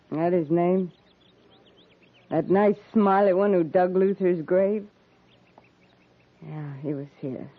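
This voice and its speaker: Bitter scornful pioneer widow, rustic talk, old radio western, really tinny narrow recording. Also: female voice, lowish voice, slow pace, pauses often. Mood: bitter, mocking. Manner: scornful, sardonic, rustic speech. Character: bitter, defiant frontier widow. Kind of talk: 1950s radio western acting.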